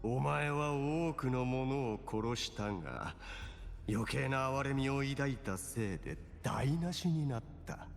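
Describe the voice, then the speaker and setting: A man playing a stern, formal game character who speaks in a solemn, measured, dramatic way. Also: mid-range voice, animated, close to an everyday voice. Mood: stern warning. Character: grave male game character, stern and formal, giving warnings. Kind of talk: dramatic video game voice acting. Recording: long hall reverb, game music behind.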